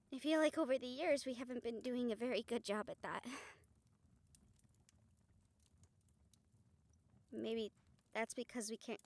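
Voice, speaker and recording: Woman acting a weary, higher-voiced female vampire hunter. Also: no echo, natural voice. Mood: remorseful. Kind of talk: intimate audio roleplay to the listener.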